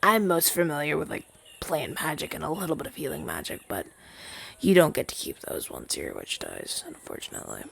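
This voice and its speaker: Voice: mid-range voice, sing-song, brisk, vocal fry, fairly bright tone, husky, heavily acted. A man voicing a shy, earnest crow familiar in an ASMR roleplay, hesitant and rambling, with stammers. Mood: earnest, explaining.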